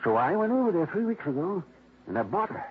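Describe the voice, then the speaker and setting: A blustering, short-tempered older gentleman in a 1950s radio mystery. His voice sits high and gruff. The old broadcast sound is thin and tinny, with a faint hiss behind it. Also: sing-song, fast. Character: blustering older man, rich dog owner. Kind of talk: 1950s radio drama acting.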